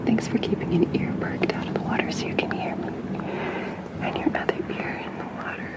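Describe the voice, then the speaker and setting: A woman's voice: lightly acted, rough recording. Woman acting a whispering, sassy sci-fi ASMR companion. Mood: quiet, watchful. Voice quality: breathy, often whispered. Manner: snarky, affectionate, hushed, chatty and halting. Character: playful sassy young woman befriending a captive merperson in space. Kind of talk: ASMR bedtime roleplay story.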